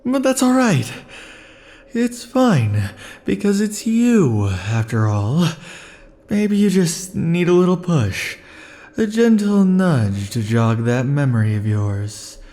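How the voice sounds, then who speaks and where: A man voicing a clingy, possessive immortal male lover in an ASMR roleplay, murmuring softly with sighs. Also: on the high side, big pitch swings, takes it slowly. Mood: pleading. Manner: soft, sighing, lingering murmur.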